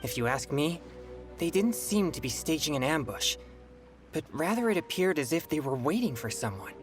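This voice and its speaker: A man's voice: a little high, sing-song, normal speed, over music. A man voicing an earnest, serious teenage boy in a video game, speaking in a straightforward way. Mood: serious, thoughtful. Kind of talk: English video game voice acting.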